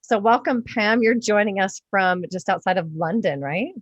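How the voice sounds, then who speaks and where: A woman's voice: medium pitch, moderate pace, no echo, muffled recording. A woman hosting a podcast interview in a casual, enthusiastic and emphatic way. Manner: effusive, emphatic. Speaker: podcast host.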